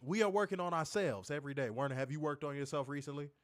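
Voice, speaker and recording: A man's voice: on the high side, fast talker. A man co-hosting a wrestling review show, ranting bluntly and profanely while stuffed up with a cold. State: congested, stuffed up. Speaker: podcast co-host.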